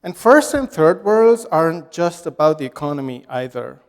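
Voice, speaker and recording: Nepali-born man giving a talk, slightly higher voice. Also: big pitch swings, clear, resonant tone. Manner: measured, reflective storytelling. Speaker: guest speaker. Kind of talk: prepared public talk. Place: lecture hall. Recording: reverberant hall.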